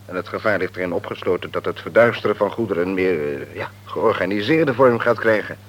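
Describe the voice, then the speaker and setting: A man's voice: low voice, brisk, muffled recording. An actor playing a curt, self-assured male head of company detectives in a radio play, speaking in a blunt, official way. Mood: blunt, accusing. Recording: old radio play recording.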